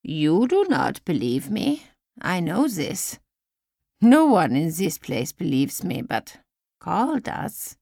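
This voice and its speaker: A woman's voice: fairly low pitch, sing-song, slow, gravelly, a little mellow, no echo, clean studio sound, full character voice. A woman voicing a blunt, prickly woman in her eighties for an audiobook, speaking emphatically in formal, stilted phrases. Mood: reproachful, insistent. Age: 80s. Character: blunt, prickly eighty-two-year-old German woman, excited.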